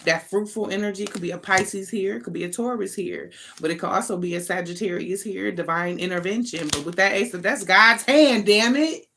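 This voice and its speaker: A woman's voice: medium pitch, moderate pace, hardly any pauses, fairly bright tone, a little hiss or hum. Emphatic American woman reading tarot. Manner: emphatic, slangy, conversational, swears casually. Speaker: tarot reader, online channel host. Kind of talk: informal improvised tarot reading.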